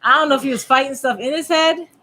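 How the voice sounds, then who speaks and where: A woman co-hosting a candid chat with her husband, speaking openly and reflectively, often pausing to search for words. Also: high-pitched, bright, forceful tone. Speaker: podcast co-host.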